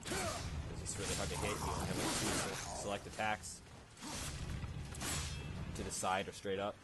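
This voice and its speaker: A man's voice: sits low, little pitch movement, moderate pace, loud music behind the voice. A man giving casual, off-the-cuff game commentary in a rambling, unsure way, often correcting himself. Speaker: let's play gamer. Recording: noisy home game recording.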